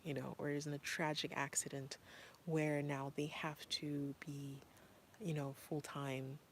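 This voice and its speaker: Woman from Zimbabwe, deep-ish voice, casual parent vlogger, earnest and rambling. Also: little pitch movement, measured pace, a little hiss or hum. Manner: earnest, rambling with many fillers. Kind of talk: casual talk to camera.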